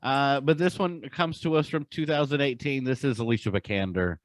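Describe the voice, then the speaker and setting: A man's voice: mid-range voice, a little fast, dry recording. A man hosting a podcast, talking in casual conversational chat. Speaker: podcast host.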